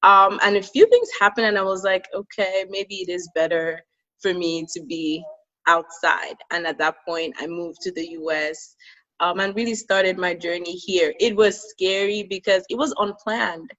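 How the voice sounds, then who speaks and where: A woman's voice: on the low side, fairly even intonation, moderate pace, fairly bright tone, dull audio. A woman from Cameroon, telling her own story as an interview guest and laughing easily. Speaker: podcast guest.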